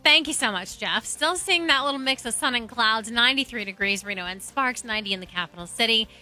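An American woman reports traffic on the radio in a chatty style. Her voice is fairly high and extremely bright, with faint music behind her. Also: fairly quick.

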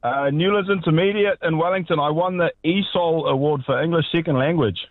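A man's voice: on the high side, a little fast, no room sound. A man from South Africa whose first language is Afrikaans, chatting as a caller on a radio show in an easygoing way. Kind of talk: casual radio call. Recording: lo-fi phone line.

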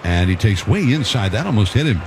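A male play-by-play announcer calling a baseball game in a conversational, measured way. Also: background noise. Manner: conversational, measured, calls pitches with rising excitement. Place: stadium broadcast booth.

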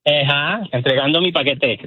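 A man's voice: fairly high pitch, moderate pace, phone-quality sound, over a noisy crowd. A man from Colombia calling in to a radio contest and chatting casually. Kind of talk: casual phone chat. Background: loud music.

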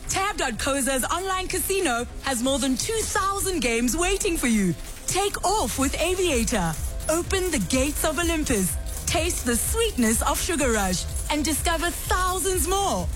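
A woman reading a radio commercial in a bright, brisk ad delivery. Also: high-pitched. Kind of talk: commercial voice-over. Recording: music bed behind voice.

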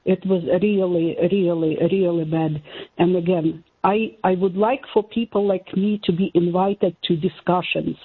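A woman's voice: lowish voice, fairly even intonation, a little slow, breathy edge. A woman from Ukraine with a Ukrainian accent, calling in to a talk show and asking her question earnestly and emphatically. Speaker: caller. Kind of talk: call-in question. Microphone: telephone. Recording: phone line.

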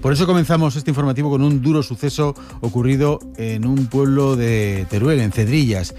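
A man with a Spanish accent from Spain, anchoring a morning radio news bulletin in a measured newsreader manner. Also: mid-range voice, dark tone, soft background music. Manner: brisk, measured newsreader delivery.

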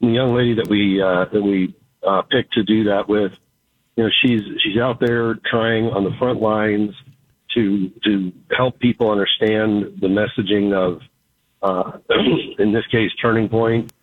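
A man calls in to a radio show as a guest, his voice raspy and low. He sounds relaxed and thankful, stumbling over words and leaning on fillers. The line is dry and tinny, like a phone call. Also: a little slow, no echo. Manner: relaxed, grateful, hesitant with many fillers. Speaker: company founder, radio guest. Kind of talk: casual radio interview.

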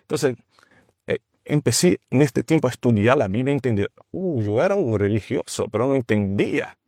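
Brazilian man speaking halting Spanish. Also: mid-range voice, animated, a little mellow, no room sound, clean studio sound. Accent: Brazilian Portuguese. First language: Portuguese. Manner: halting, with restarts and searching for words. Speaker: guest, teacher of a ministry. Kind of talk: conversational testimony.

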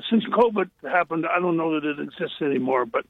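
An older man with a loud, husky voice reminisces casually as a guest, halting and repeating phrases, over a phone line. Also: fairly high pitch, lively intonation. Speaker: guest caller, retired prison librarian. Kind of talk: casual reminiscing in a radio interview. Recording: tinny, echoey phone line.

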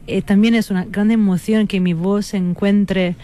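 Slow, hesitant Italian-accented woman. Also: mid-range voice, steady tone, dark tone. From Italy. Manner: hesitant, searching for words, with many fillers and restarts; reflective and earnest. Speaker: guest musician. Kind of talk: radio interview.

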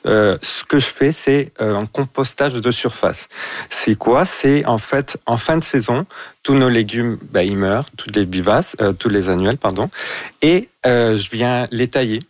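A man's voice: medium pitch, measured pace, no room sound. Man from Mexico explaining, dry sound. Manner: affirms with repeated short agreements. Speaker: guest gardener. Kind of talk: informal explanatory interview answers.

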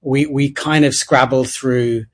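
A man's voice: medium pitch, fairly even intonation, slow. A man from London with a British accent, an author answering interview questions thoughtfully, with stammering restarts. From London, England. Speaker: author, radio guest. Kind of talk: interview answers over a remote line.

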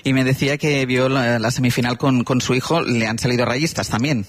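Husky-voiced male radio host from Spain. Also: medium pitch, fairly even intonation, a little fast. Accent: Spain, Castilian. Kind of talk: conversational radio interview.

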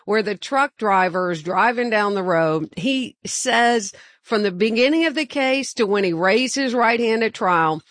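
A woman's voice: medium pitch, expressive, a little slow, a bit bright, dry recording, clean studio sound. A woman lawyer telling a case story in a chatty radio ad. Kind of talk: conversational ad.

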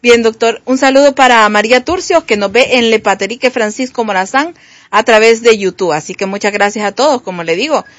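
A woman's voice: on the high side, a little fast, no room sound. A woman with a Honduran Spanish accent co-hosting a radio show, reading listener messages and greetings in a friendly way. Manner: friendly, reads greetings and questions.

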